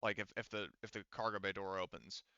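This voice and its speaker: A man's voice: low voice, fairly even intonation, brisk, pushed and bright, clear, resonant tone, no echo. A man acting as game master, describing scenes and rulings in a casual, conversational, hedging way over slightly dull audio. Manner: informal, conversational, hedging explanations. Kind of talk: casual tabletop game narration.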